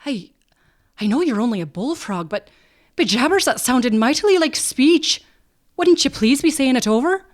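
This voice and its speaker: A woman's voice: sits high, animated, moderate pace, pitched above the performer's own voice. Lady reading as a young boy, earnest n dreamy, storybook style, always wondering aloud. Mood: bewildered. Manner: dreamy, questioning, exclamatory. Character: earnest, wondering young Irish lad guarding a swamp. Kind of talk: audiobook character voice.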